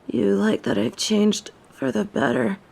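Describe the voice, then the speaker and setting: A woman playing a haughty, imperious yet softening fallen angel in a scripted audio roleplay, speaking in formal, theatrical phrases. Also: mid-range voice, steady tone, a little slow, creaky, low-quality recording. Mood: proud, softening.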